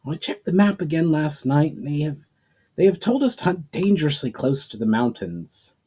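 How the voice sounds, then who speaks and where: A young hunter voiced by a man frets in a storybook tale. He is superstitious and anxious, complaining in a pitch lifted above plain narration. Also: sing-song, moderate pace, mellow, slightly rough. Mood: worried.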